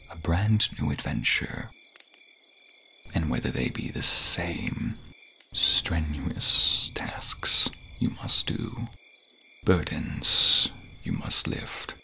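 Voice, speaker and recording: Casting: gentle, grateful snake-man played by a man for ASMR fantasy roleplay; crisp, sibilant-bright whisper, extremely slow formal delivery. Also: extremely low pitch, animated, exaggerated voice. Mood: soothing, protective. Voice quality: breathy whisper. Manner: slow, hushed, formal archaic phrasing. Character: gentle male naga, grateful nocturnal snake-man guardian.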